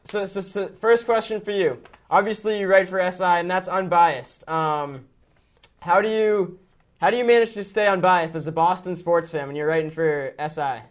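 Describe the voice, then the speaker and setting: Young male host, halting welcome. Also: high voice. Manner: halting, repeats words. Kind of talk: young broadcaster opening an interview.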